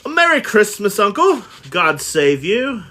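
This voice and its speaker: A man's voice: sing-song, measured pace, exaggerated voice. A man voicing Scrooge's cheerful young nephew in a storybook reading, eager and pleading. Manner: eager, pleading, high and bright.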